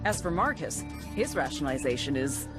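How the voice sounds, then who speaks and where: A tough female intelligence agent in a military game, with a low voice that swings widely in pitch. She is wry, blunt and ruthless, with music behind her. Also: very animated, fairly quick. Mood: wry briefing. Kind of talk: scripted briefing narration.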